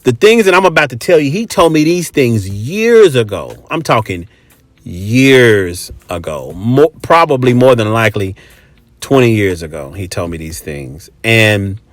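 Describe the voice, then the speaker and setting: A man's voice: fairly low pitch, sing-song, measured pace, no echo. An American man hosting a podcast in a casual, chatty monologue, talking colloquially and emphatically and repeating phrases for effect. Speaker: podcast host.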